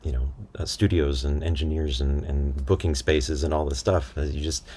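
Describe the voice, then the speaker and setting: A man speaking casually as an interview guest, thinking aloud in a halting, conversational way. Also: a bass voice, steady tone, a little mellow, slightly rough. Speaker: interview guest, audio drama creator and voice actor.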